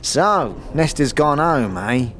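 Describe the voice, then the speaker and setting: A man's voice: medium pitch, sing-song, slow, a bit bright, faint music behind, pitched above the performer's own voice. A man voicing a hearty, slangy innkeeper in a fantasy audio drama. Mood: hearty, welcoming. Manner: jovial, slangy. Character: hearty, familiar fantasy flophouse keeper.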